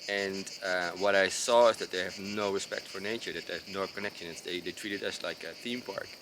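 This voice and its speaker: A man with a Dutch accent, speaking slowly and unevenly in a deep, low voice. He explains his ideas casually, pausing often with fillers and starting sentences over. Crickets and insects chirp clearly behind him outdoors. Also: steady tone, noisy background. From Netherlands. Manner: hesitant, with many fillers and restarts. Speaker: designer explaining his approach. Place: outdoors in rural mountains.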